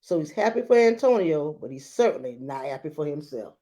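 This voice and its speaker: A woman reading a storybook aloud like a teacher, adding expressive explanations and checking in with her listeners. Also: sits low, brisk. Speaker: children's book read-aloud teacher.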